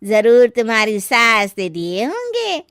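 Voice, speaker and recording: A woman's voice: on the high side, wildly swooping pitch, slow pace, a bit bright, clear, resonant tone, dry recording, clean studio sound, heavily acted. A woman voicing a scheming, meddling older aunt in a Hindi story, taunting and insinuating with a sly sweetness. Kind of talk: dramatized Hindi moral story.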